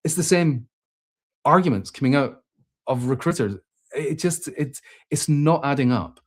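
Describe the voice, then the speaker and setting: A man's voice: a little high, a little slow. Chatty man thinking aloud with restarts. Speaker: host. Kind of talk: casual spoken commentary.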